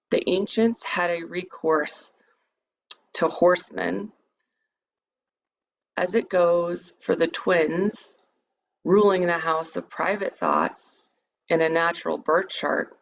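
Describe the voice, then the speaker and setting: Low, creaky-voiced woman guiding a meditation. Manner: soothing, measured, invitational delivery. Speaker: guided meditation leader. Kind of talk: guided meditation, part improvised, part read lecture. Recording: dull, lo-fi sound with background noise.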